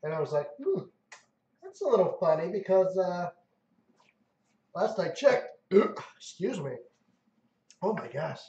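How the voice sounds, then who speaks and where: A man's voice: high-pitched, very animated, unhurried, long pauses, mellow. A man from Ohio hosting a casual live stream, joking around and reading viewer comments aloud. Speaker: livestream host. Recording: echoey room, dull lo-fi sound.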